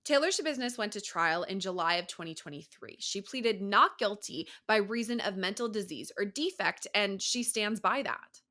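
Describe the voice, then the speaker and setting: A woman's voice: mid-range voice, expressive, moderate pace, hardly any pauses, sharp tone. A woman with an American accent narrating a scripted true-crime news report as the reporter.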